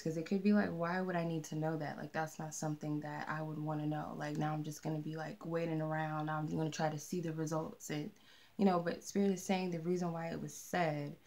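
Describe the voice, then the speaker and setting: A woman with a soft, mellow voice giving a casual channeled tarot reading, chatty and rambling with many fillers. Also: low voice. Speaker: tarot reader. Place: candlelit room with a tarot table. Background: R&B music playing.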